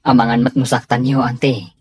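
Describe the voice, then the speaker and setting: A hesitant young Filipino nephew in an Ilocano radio drama. His mid-pitched voice is husky, and he talks with polite reluctance. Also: flat delivery, a little fast, darkish tone, no room sound. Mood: reluctant, worried. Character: hesitant, respectful young nephew guarding a secret.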